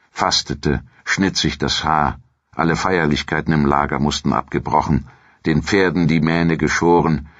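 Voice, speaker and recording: A man with an extremely low, husky voice narrates history in a measured, formal way. His scripted delivery suits an audio documentary, and the sound is dry and slightly dull. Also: a bit bright, no echo.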